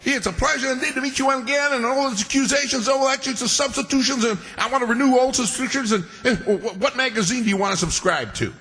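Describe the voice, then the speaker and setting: A scatterbrained small-town lawyer from old-time radio comedy. His voice is high and bright, and he stammers, rambles and tangles his words. The sound rings in a big hall. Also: fast talker, hardly any pauses, heavily acted. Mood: muddled, eager. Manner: flustered, stammering, muddles words and repeats himself. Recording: reverberant hall stage recording.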